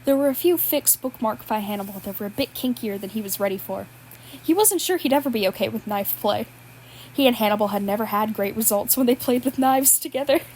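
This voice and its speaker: A woman's voice: a little high, a little fast, darkish tone, a little hiss or hum. A woman reading fan fiction aloud, breaking into laughs as she goes. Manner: laughs at the text while reading. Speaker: podfic reader.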